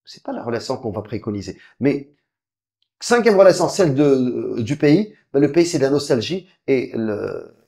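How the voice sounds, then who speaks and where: A man giving a religious lecture, explaining step by step, often restarting his sentences and quickly reciting Arabic verses. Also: creaky. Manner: frequent halting restarts, lively swings in pitch and volume. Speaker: preacher.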